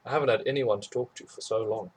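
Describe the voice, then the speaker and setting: A man's voice: medium pitch, fast, darkish tone, slightly rough, natural voice. A man voicing a cheerful, doting mother with light, reassuring lines in a read-aloud storybook.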